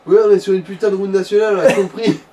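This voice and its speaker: A man's voice: sits high, level tone, rapid-fire, dark tone. A man bantering casually beside a gamer, giving advice in a blunt, crude, teasing way. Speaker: co-commentator advising the player. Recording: distant mic with faint background noise.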